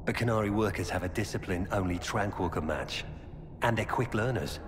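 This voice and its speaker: An actor playing a grim former Templar turned Qunari informant in a video game, speaking in a grave, measured, earnest way. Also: male voice, fairly low pitch, over music, natural voice. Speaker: game character. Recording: game audio with long reverb and ambient noise.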